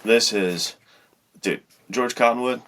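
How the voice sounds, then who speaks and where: A man's voice: mid-range voice, slow pace, fairly bright tone. A man co-hosting a podcast in a casual, slangy, hyped way, heaping emphatic praise on books. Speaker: podcast co-host and writer. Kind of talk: casual book chat.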